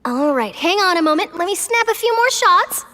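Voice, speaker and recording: A woman's voice: extremely high pitch, big pitch swings, moderate pace, sharp tone, long reverb tail, heavily acted. An actress playing an eager, chatty, persistent young female news reporter in a video game, with a bubbly, pushy manner. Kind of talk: animated video game voice acting.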